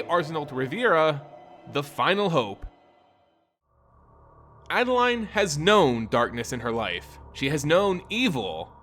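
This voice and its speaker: A man narrating a scripted fantasy story in a dramatic, solemn and measured storytelling manner. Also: on the high side, fairly bright tone. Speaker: lore narrator.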